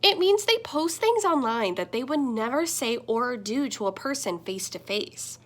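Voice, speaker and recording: A woman voicing a friendly, earnest talking computer screen that gently scolds, in a children's picture book. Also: a little high, lively intonation, a little slow. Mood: earnest, warning. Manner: earnest, explanatory.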